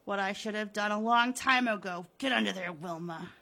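A woman's voice: medium pitch, normal speed, gravelly, sharp tone. A woman voicing a scheming, henpecked husband from a horror comic, with a stammering, coaxing manner that has a taunting edge. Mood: taunting fury. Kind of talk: dramatic comic-book reading.

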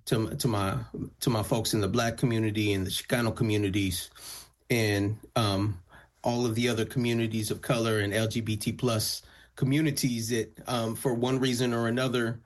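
A man's voice: lowish voice, flat delivery, moderate pace. A male musician whose first language is Spanish, answering interview questions thoughtfully, often restarting his phrases. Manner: thoughtful, frequent restarts and fillers. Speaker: musician guest.

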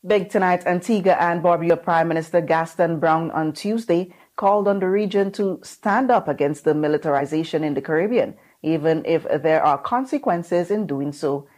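A woman reporter narrating a scripted news item in a crisp, neutral delivery. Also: lowish voice, moderate pace, hardly any pauses, a little mellow.

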